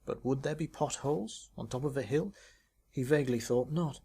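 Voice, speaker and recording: A man's voice: medium pitch, moderate pace, dark tone, slightly rough. A man reading his own short story as a scripted narration.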